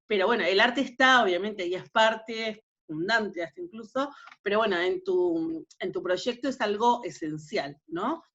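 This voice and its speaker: A woman hosting an online talk on a video call, welcoming and measured, with many filler pauses. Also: medium pitch, fairly bright tone, dull audio. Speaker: host, moderator.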